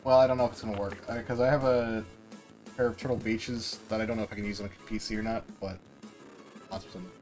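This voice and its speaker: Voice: medium pitch, flat delivery, fast talker, over music. A player chatting casually over voice chat during an online tabletop game, joking with the group.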